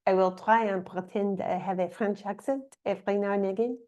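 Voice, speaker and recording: A woman with an English accent, giving a reflective spiritual talk in a calm, musing way, pausing often. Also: mid-range voice, highs cut off. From England. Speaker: spiritual teacher.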